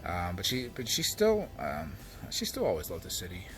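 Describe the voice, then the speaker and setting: An American man telling reflective personal stories as a storyteller, speaking haltingly and often repeating words as he searches for the next phrase. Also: sits low, huge pitch swings, faint music behind. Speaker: comedian and storyteller.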